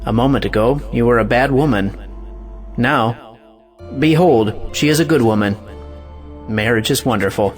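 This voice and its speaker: A man's voice: mid-range voice, normal speed, long pauses, soft background music, natural voice. A man playing a cynical, defiant free-thinker in an audio play, speaking with sardonic emphasis. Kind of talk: audio stage play acting.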